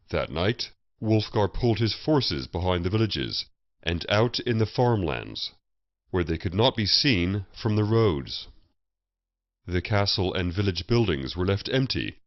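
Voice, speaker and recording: A man reading a historical fantasy story as a scripted audiobook narration. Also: low-pitched. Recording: muffled, roomy voice recording.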